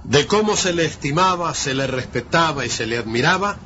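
A Uruguayan man presenting on the radio in a formal, measured and eloquent way, as if from a prepared script. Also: a little high, animated, bright, forceful tone. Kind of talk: scripted radio narration and interviewing. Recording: dull lo-fi radio recording with hiss.

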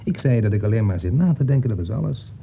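Evasive husband, swooping intonation. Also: male voice, lowish voice, lively intonation, gravelly. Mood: evasive, irritable. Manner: hesitant, repeats words, halting. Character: evasive, preoccupied writer and husband. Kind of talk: Dutch radio play acting. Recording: muffled, noisy old radio play recording.

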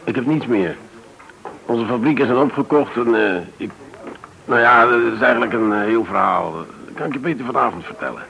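A man's voice: medium pitch, normal speed, creaky, pushed and bright, a little hiss or hum, low-quality recording. An actor playing a courteous, somewhat hesitant middle-aged businessman in a naturalistic radio play. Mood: reserved, hesitant. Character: Dirk Norman, courteous male businessman living off share sale. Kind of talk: naturalistic radio drama acting.

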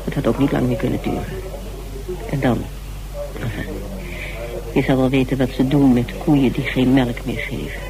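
A woman's voice: a bass voice, fairly even intonation, normal speed, pauses often, over music, rough recording. An actress playing a shrewd, self-assured woman investigator in a radio drama, probing and evasive. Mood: firm, secretive. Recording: faint noise in old radio recording.

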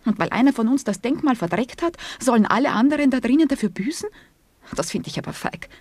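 A woman acting in a radio play as a wounded, bitter woman, speaking in a hushed, husky, halting voice. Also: fairly high pitch, animated, no room sound. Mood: indignant. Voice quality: husky, breathy. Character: wounded, bitter woman standing up to her man.

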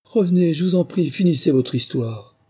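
A man's voice: on the high side, moderate pace, warm tone, breathy edge, pitched above the performer's own voice. A man voicing a polite, naive little girl in a storybook, earnest and eager to please. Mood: pleading. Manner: earnest, conciliatory.

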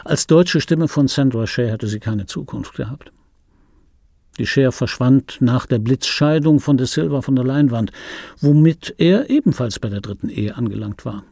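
Gravelly, husky, world-weary man. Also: mid-range voice, warm tone, no echo. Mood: resigned, musing. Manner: dry, laconic, musing aloud. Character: wry, world-weary middle-aged male film dubbing actor reminiscing. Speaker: radio play actor, first-person narrator. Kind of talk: intimate radio play monologue.

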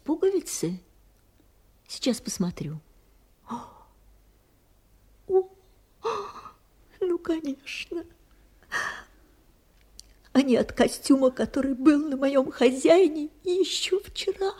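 An actress playing a guarded, plaintive housekeeper in an audio drama, answering in a defensive manner. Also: female voice, sits high, animated, slow, pauses often, mellow. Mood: subdued, mournful. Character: guarded, mournful female housekeeper devoted to her master. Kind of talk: Russian audio drama acting.

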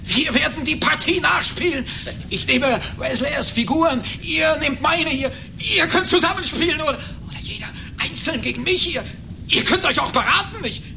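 Rachsüchtiger, manischer Mann, sehr hohe Stimme. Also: male voice, very high-pitched, gravelly, noisy background. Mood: taunting, excited. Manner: theatrical, halting, swinging from taunting to menacing. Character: vengeful, manic man challenging his old chess friends. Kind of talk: German radio play acting.

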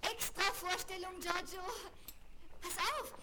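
A woman's voice: very high voice, steady tone, takes it slowly. A woman playing a bold, cheeky young circus girl in a 1970s German children's radio play, with an exuberant, commanding manner. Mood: commanding, showy. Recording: noisy 1970s vinyl transfer.

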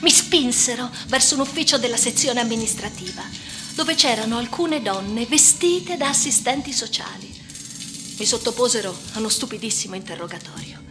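An actress playing a troubled, defiant young woman in a radio monologue, recounting her life with an intense delivery that moves between hushed and agitated. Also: a little high, animated, moderate pace, close to an everyday voice. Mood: bitter, defiant. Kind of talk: dramatic first-person radio monologue. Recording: music under the voice. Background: music.

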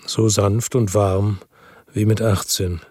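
A world-worn middle-aged man tells his story for a radio drama. His voice is low and husky, and his delivery is somber and reflective, like someone brooding over what he has seen. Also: level tone, slow, a little mellow. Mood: weary, reflective. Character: weary, brooding middle-aged male first-person narrator, world-worn investigator.

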